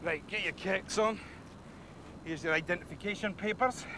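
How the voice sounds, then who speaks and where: An actor playing a menacing Scottish gangster with a Scottish accent who makes jokey threats. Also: male voice, high voice, moderate pace, bright, forceful tone. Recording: background noise.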